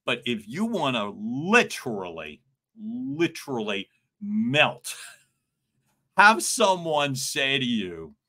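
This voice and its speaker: A middle-aged man coaching on communication in a live stream, loud and expressive, joking with his viewers, speaking from a room at home into a studio mic. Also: mid-range voice, slow pace. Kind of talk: livestream coaching talk. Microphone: large studio mic in front.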